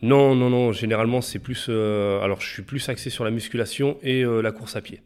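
A man's voice: medium pitch, fairly even intonation, fairly quick, a little mellow, clear, resonant tone. A man in his thirties answering interview questions plainly, with many hesitant fillers. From France. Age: 30s. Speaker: guest.

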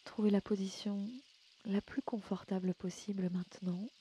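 A woman leading a guided sleep meditation in a gentle, soothing, unhurried way, often slipping into a breathy near-whisper. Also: mid-range voice, little pitch movement, slight background noise. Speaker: yoga nidra guide and podcast host. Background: music.

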